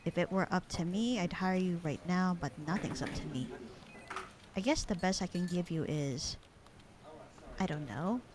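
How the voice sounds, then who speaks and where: A woman playing a bored, sarcastic, slightly flirty secretary in a casual ASMR audio roleplay, with a dry, deadpan, teasing delivery. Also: lowish voice, moderate pace, long pauses, background noise, close to an everyday voice. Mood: dry, indifferent. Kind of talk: ASMR roleplay acting, casual one-sided conversation.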